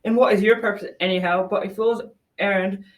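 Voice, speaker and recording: A woman's voice: medium pitch, steady tone, fairly quick, far from the mic, lightly acted. A young adolescent girl playing a sly, coaxing old talking tree in a school radio drama. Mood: sly, dismissive. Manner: coaxing, persuasive.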